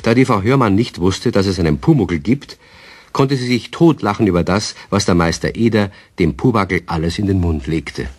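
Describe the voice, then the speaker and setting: A man narrating a radio play for children in an expressive storytelling manner. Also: sits low, normal speed, husky, no echo.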